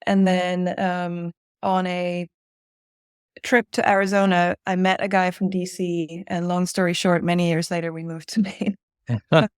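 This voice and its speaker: Female interview guest from Spain, forties, low-leaning pitch, conversational, dry close recording. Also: little pitch movement, unhurried, clean tone, dry recording. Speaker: interview guest, director at a design-build firm.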